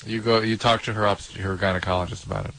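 A man's voice: on the low side, flat delivery, quick pace, creaky, a bit bright. An older man co-hosting a call-in radio show, giving medical advice in a blunt, clinical and impatient way, at a studio microphone. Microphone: studio microphone on stand.

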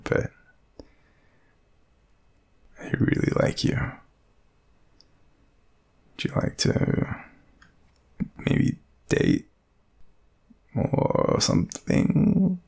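A young Australian man playing a shy, lovestruck friend in an intimate romantic audio roleplay, speaking hesitantly in a deep, gravelly, breathy murmur. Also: wildly swooping pitch, very slow, pauses often, darkish tone, exaggerated voice. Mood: nervous, tender.

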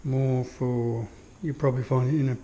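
A man giving a relaxed walkthrough of his home gym, admiring each piece of kit with many pauses and fillers, recorded on a handheld action camera in a small indoor room. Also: mid-range voice, poor audio. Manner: unhurried, full of fillers, admiring. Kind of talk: casual walkthrough commentary. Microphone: action camera held while walking.

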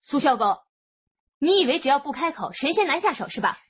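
Impatient lady cop, high voice, lively pitch, radio drama scolding. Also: brisk, lo-fi sound. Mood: stern impatience. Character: stern, impatient young female police interrogator.

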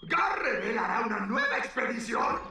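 A man with a Latin American accent voicing a small, comic, excitable mole creature in a cartoon dub, squawky and exaggerated. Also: very high voice, normal speed, recorded from a distance, very noisy. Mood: excited. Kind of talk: animated series dub acting. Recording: roomy, off-mic, music behind.

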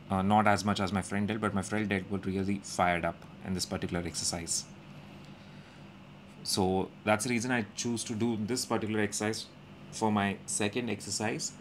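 A young man calmly narrating his gym workout as a voice-over, explaining each step with frequent pauses. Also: fairly low pitch, a little hiss or hum. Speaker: fitness vlogger. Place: indoor gym.

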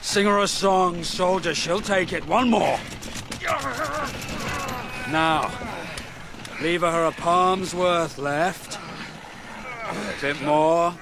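A man's voice: high-pitched, noisy background. An actor playing a bold, wry warrior prince who commands his soldiers in a historical audio drama. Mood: urging, playful. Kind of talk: historical radio drama acting.

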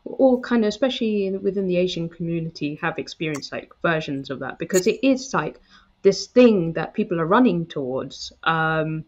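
A woman's voice: fairly low pitch, lively intonation, moderate pace, dull audio. A woman in her thirties hosting a podcast interview from home, speaking empathetically with hesitant fillers. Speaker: podcast host. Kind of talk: casual interview chat. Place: home room with bookshelves. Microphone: headset.

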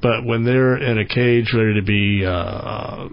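A man's voice: on the low side, steady tone, unhurried, highs cut off. Folksy man hosting a radio show. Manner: folksy, plainspoken. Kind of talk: radio interview.

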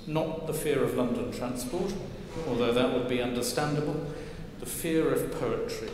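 An older man preaching a sermon from a church lectern, wry and gently humorous as he tells stories. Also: mid-range voice, normal speed, noisy background. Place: large stone church, at a lectern. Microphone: lectern mic, far camera. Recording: echoey church with long reverb.

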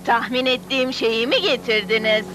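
A woman's voice: fairly high pitch, normal speed, over music, lightly acted. A woman voicing an imperious, bad-tempered, greedy queen in a Turkish-dubbed children's cartoon, haughty and scornful.

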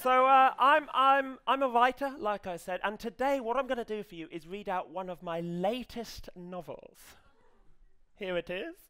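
An English man in his thirties giving a live comedy reading as a writer, in a hesitant, earnest, deadpan manner. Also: high voice, normal speed, clear, resonant tone. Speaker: comedian reading as an amateur novelist character. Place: small stage set indoors, before an audience. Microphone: headset.

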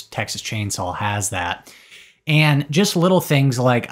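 Enthusiastic bearded man reviewing a film. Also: mid-range voice. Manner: enthusiastic, gesturing, many fillers and restarts. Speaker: horror movie reviewer. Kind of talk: casual spoken review to camera. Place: small indoor room with shelves of collectibles. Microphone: desk studio mic close in front.

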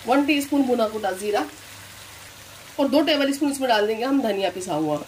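Calm woman giving cooking steps. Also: on the high side, a little fast, slight background noise. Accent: Hindustani, Delhi area. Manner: calm instructive, repeats "here" and "this way". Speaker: cooking channel host. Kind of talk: step-by-step recipe voice-over.

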